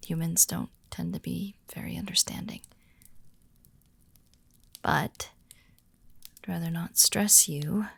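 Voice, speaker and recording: A woman performs a calm female werewolf of the pack in an intimate audio roleplay. Her voice is lowish and very gravelly, often sinking to a breathy near whisper. She speaks slowly and gently, reassuring a newcomer with care. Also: no room sound, full character voice. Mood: soothing, reassuring. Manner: slow, gentle, reassuring, with deliberate pauses. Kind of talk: soft-spoken immersive ASMR-style audio roleplay.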